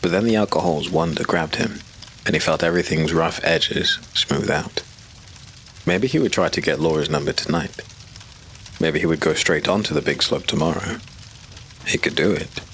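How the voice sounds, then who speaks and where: Very deep-voiced male narrator. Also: moderate pace, fairly bright tone, a little hiss or hum, poor audio. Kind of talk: scripted audiobook narration.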